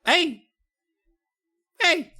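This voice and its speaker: A woman's voice: very high-pitched, animated, drawn-out words, pushed and bright, pitched above the performer's own voice, heavily acted. A woman voicing a male mischief gnome who keeps insisting, over and over, that he means no harm. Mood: pleading.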